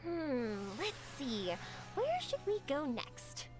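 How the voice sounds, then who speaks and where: A woman's voice: sits high, wildly swooping pitch, takes it slowly, exaggerated voice. A bubbly cheerful young woman. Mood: playful. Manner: bubbly, encouraging. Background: game music.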